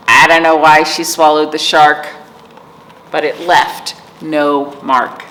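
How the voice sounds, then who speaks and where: An echoey room surrounds a woman reading aloud to young children. Her pitch moves up and down in a lively sing-song, and she takes her time with each phrase, speaking straight to her small listeners as a storytime host. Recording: echoey reverberant room, clear background noise.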